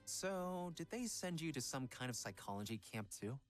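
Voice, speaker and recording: A man voicing a gentle, earnest young pop idol in a visual novel game, soft-spoken and a little hesitant. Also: medium pitch, sing-song, normal speed, faint music behind, lightly acted. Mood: curious. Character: gentle young male Korean pop idol, devoted fiancé.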